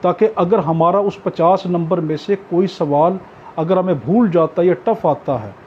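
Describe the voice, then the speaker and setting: High-voiced Pakistani man lecturing, muffled recording. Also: normal speed. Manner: instructive, repeats key points for emphasis. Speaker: law exam tutor. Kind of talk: informal lecture to students. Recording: dull lo-fi audio with background noise.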